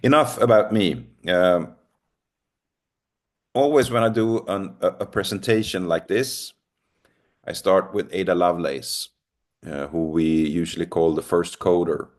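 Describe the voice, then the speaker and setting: Swedish guy, middle-aged, deep voice, recorded talk full of uhs and ums. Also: measured pace, long pauses. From Sweden. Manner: hesitant, many ums and uhs, self-deprecating. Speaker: lecturer. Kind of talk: recorded remote lecture.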